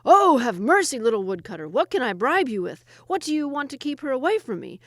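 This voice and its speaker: A woman voicing a mischievous, gruff male jinni hiding inside a princess. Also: mid-range voice, lively intonation, moderate pace, no room sound, studio quality. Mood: panicked. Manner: teasing, then panicky.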